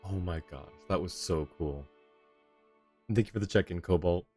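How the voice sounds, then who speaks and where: A man's voice: low-pitched, moderate pace, darkish tone. A man streaming a game live, reacting casually in an amused, informal way. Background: game music.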